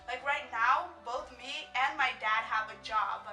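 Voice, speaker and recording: A woman's voice: sits high, unhurried, bright, forceful tone, recorded from a distance. A woman from Somalia speaking in an interview in an earnest, hopeful way. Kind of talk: personal interview. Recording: echoey room from afar, faint music.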